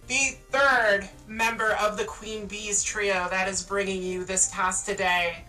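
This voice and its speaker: A woman's voice: medium pitch, flat delivery, measured pace, pushed and bright. A woman from Canada hosting a live esports stream, with joking and boastful banter. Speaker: host and caster. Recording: distant mic with faint music. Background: music.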